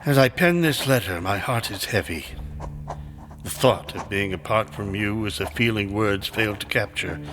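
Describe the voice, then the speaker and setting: A man's voice: lowish voice, normal speed, lightly acted. An actor playing a dying old baron who tenderly voices his farewell letter in a gothic audio drama. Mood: tender, sorrowful. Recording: long hall reverb under prominent guitar music.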